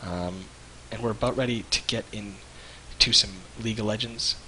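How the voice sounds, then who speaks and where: A man's voice: fairly low pitch, fairly even intonation, moderate pace, pushed and bright, a little hiss or hum, low-quality recording. A man co-hosting a live stream in casual, jokey chat, rambling and searching for words. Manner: rambling, loose, jokey, hesitant.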